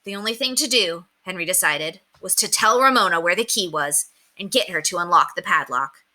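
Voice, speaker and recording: A woman reading a children's story aloud with expressive, dramatic emphasis. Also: lowish voice, brisk.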